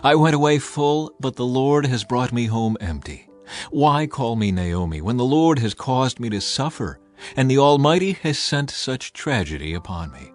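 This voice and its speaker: A man's voice: medium pitch, expressive, moderate pace, soft background music, lightly acted. A man voicing a bitter, grieving older widow in a storybook-style scripture reading, pleading earnestly. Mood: bitter grief.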